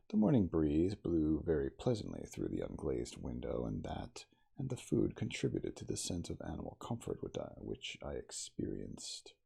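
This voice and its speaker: A man reading a classic novel aloud as ASMR, in a soft, intimate, slow dramatic storytelling manner. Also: low-pitched, vocal fry, husky. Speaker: audiobook reader in character as the narrator.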